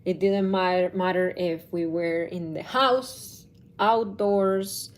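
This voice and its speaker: Slow-talking woman parenting coach. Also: lowish voice, fairly even intonation. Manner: slow, thoughtful, with restarts and self-corrections. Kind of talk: casual talk to camera.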